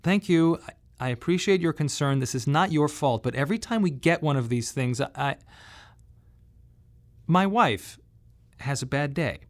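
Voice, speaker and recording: An actor playing a grieving father, speaking in a measured, wry radio monologue with pointed pauses. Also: a little high, animated, natural voice. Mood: bitter, restrained. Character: grieving bereaved father, wry, tense and plain-spoken. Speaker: narrator and lead of a monodrama. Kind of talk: dramatic first-person radio monologue.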